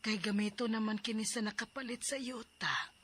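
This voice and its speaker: An actress playing an apologetic but unyielding older aunt in a Cebuano radio drama, breathy and hushed. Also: female voice, on the high side, steady tone, normal speed, lightly acted. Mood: apologetic, firm. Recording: faint noise, distant ambience.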